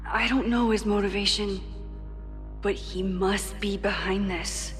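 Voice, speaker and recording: A woman's voice: medium pitch, fairly even intonation, a little slow, lightly acted. Actress as young woman detective in a video game, earnest n determined, reverby, music under it. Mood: brooding, resolved.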